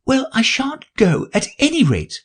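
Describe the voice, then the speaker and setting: A man voicing a blunt, indignant young girl who answers back in soft, matter-of-fact retorts. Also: very high voice, wildly swooping pitch, measured pace, slightly rough, voice pushed up, heavily acted.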